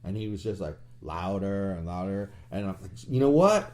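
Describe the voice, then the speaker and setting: A man co-hosting a podcast, telling a story in a blunt, animated way with crude jokes. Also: low voice, normal speed. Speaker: podcast co-host. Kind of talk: casual chat.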